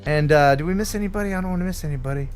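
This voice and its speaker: A man hosting a live stream in a casual, chatty way, reading out donations with bursts of excitement. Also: fairly high pitch, rapid-fire, smooth, soft background music. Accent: neutral radio American. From Philadelphia area. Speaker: livestream host.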